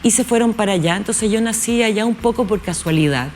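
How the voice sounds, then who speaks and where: Woman in her 50s from chile, talks slow and careful, lots of pauses, faint room hum behind. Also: medium pitch, darkish tone. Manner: thoughtful, hesitant, many pauses and restarts. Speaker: guest, actress. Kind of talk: relaxed interview conversation. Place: TV studio.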